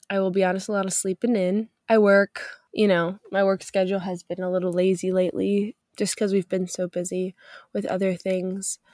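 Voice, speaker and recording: A woman's voice: medium pitch, darkish tone, no echo, studio quality. A young woman hosting a faith podcast, chatting upbeat and loose with many fillers, her voice stuffy from a cold. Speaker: podcast host. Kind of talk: casual faith podcast chat.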